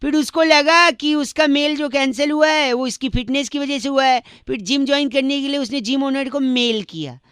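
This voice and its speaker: A man's voice: very high voice, normal speed, hardly any pauses, fairly bright tone, no echo, clean studio sound. A man playing a cheeky, persistent young prank caller, teasing and needling with mock politeness in a radio comedy call. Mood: playful, riddling.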